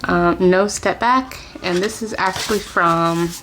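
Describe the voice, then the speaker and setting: A woman chatting casually to camera as a book vlogger at home, with soft laughs and whispered asides. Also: on the low side, a little slow, noisy background. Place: at home, family in another room.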